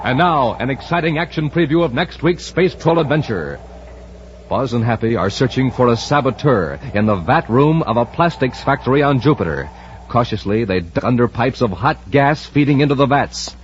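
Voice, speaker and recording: A man announcing in 1950s radio serial style, theatrical and punchy, with an excited salesman's delivery. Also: medium pitch, normal speed. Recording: dull, hissy vintage radio recording.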